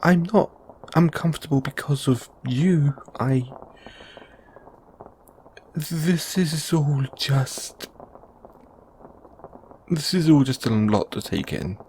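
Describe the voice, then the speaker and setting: A man's voice: a little high, animated, takes it slowly, pauses often, darkish tone, a little hiss or hum, poor audio. A man playing a kindly middle-aged father in an audio roleplay, nervous, awkward and stammering. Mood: flustered, uneasy.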